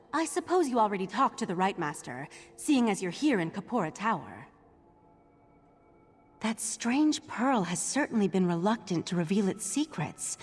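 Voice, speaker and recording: An actress playing an earnest, bookish young mage acolyte in a fantasy game, explaining things politely and conversationally. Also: female voice, medium pitch, breathy edge. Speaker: acolyte and cataloguer in a magical order. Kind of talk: scripted fantasy game dialogue. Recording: long hall reverb.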